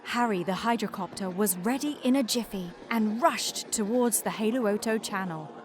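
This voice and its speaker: A woman's voice: medium pitch, normal speed. A woman narrating a scripted children's storybook aloud. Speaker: storybook reader.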